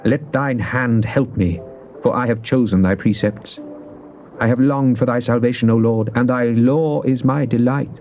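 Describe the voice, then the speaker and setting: A man's voice: medium pitch, faint music behind, close to an everyday voice. Solemn man acting as devout psalmist. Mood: earnest, pleading. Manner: solemn, measured, theatrical. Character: devout psalmist pleading and praising God. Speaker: scripture reader. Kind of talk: dramatized King James Bible reading. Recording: dull, noisy old cassette tape.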